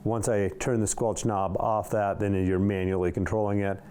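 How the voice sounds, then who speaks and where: A man's voice: on the low side, fairly even intonation, normal speed, mellow, a little hiss or hum. A man giving a casual, hands-on product walkthrough in an informal way, talking as he handles items. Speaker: product reviewer for a radio shop.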